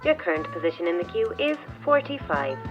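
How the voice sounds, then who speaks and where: A woman's voice: on the low side, big pitch swings, a little fast. A woman playing an automated helpline announcer, reading formal, impersonal hold messages. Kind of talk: scripted recorded phone announcement. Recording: dull phone-line sound with music.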